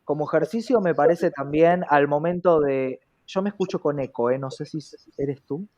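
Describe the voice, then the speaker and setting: A woman's voice: sits low, moderate pace, warm tone. Argentine woman chatting as interview guest, reflective, kinda muffled lo-fi call sound. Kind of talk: conversational interview explanation.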